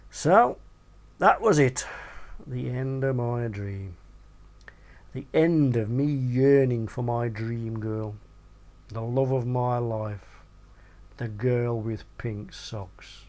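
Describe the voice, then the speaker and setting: A man's voice: medium pitch, a little mellow. A man with a British accent reading a comic first-person short story in a theatrical, sweary way.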